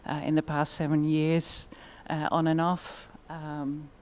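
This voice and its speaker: A woman's voice: low voice, flat delivery, takes it slowly, a little mellow. A woman with a Dutch accent giving a conference presentation in a thoughtful way, with frequent fillers. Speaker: conference speaker.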